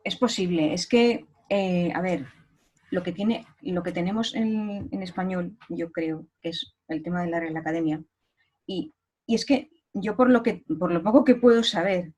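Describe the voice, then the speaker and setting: A woman's voice: on the low side, moderate pace, a little mellow, rough recording. Woman hosting an interview. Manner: reflective, hedging with "yo creo". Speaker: interview host. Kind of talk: conversational interview.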